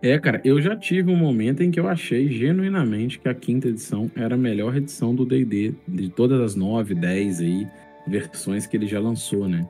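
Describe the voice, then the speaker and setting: A man's voice: medium pitch, fairly quick, dark tone. A middle-aged man with a Brazilian accent explaining things in casual, articulate podcast talk, often checking for agreement. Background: faint music.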